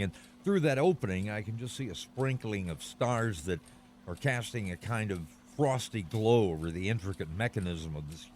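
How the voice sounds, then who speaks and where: An actor playing an eager, courteous radio commentator in a 1930s style radio drama, speaking in a formal announcer's manner. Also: male voice, on the low side, slight background noise, close to an everyday voice. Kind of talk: 1930s radio drama, live news interview.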